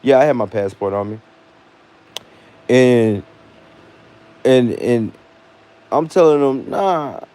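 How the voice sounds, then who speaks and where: An American man vlogging, telling a personal story casually with slangy, animated delivery and acted-out dialogue. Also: mid-range voice, slow pace, pauses often, smooth. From United States.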